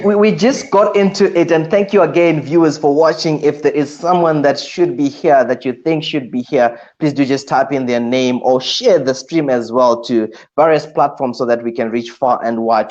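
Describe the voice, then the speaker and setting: A man's voice: medium pitch, a little mellow. A man with a mid-range voice hosts an online live interview, coming through a dull, lo-fi stream. He speaks in a friendly, loose way, often repeating a word as he gets going.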